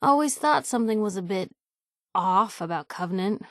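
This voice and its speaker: A woman's voice: medium pitch, expressive, normal speed, no room sound, clean studio sound, natural voice. A woman voicing a wry, inquisitive young reporter in a post-apocalyptic video game, with a sardonic, quippy, conversational delivery. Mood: wry, curious. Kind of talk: video game character voice lines.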